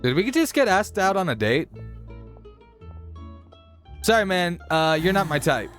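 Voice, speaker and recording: Guy streaming a game, high animated voice, jokey chatter, faint music behind. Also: unhurried. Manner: chatty, joking, reacting aloud. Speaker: gaming streamer. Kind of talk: casual playthrough commentary.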